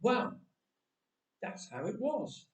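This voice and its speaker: Wry young man of Bible times, acted by a male reader, high animated voice, conversational monologue in a roomy space. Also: slow, full character voice. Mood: wry, wondering. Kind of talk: dramatic first-person storytelling monologue. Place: church, voice echoing. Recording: roomy church echo, distant microphone.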